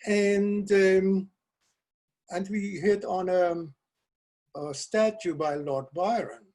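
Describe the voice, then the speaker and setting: Soft-spoken older man, Dutch native. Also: high-pitched, steady tone, slow. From South America. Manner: soft-spoken, hesitant with restarts and small laughs. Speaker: guest poet. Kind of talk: casual video call interview. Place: cluttered home office. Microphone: video call webcam.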